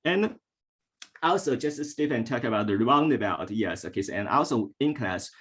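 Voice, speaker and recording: A middle-aged Chinese language teacher, a man speaking with a Chinese accent, giving a halting ceremony speech with many fillers and restarts. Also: mid-range voice, lively intonation, fairly bright tone, slightly rough.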